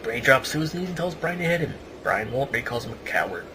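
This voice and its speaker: A man's voice: medium pitch, big pitch swings, fast. A man hosting a casual podcast, reading a match report aloud and dropping in wry asides. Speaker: podcast host. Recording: noisy amateur podcast recording.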